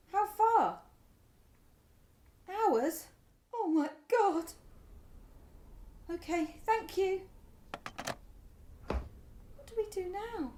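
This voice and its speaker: A woman's voice: very high-pitched, a little mellow, roomy sound, exaggerated voice. A woman with a British accent playing an anxious, easily stung middle-aged mother in a naturalistic radio play. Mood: distraught. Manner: fretful, emotive.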